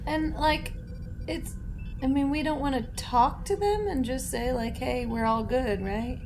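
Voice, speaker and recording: A woman's voice: high-pitched, measured pace, noisy background. A woman playing a tabletop roleplaying game, talking casually and tentatively. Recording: music behind the voice.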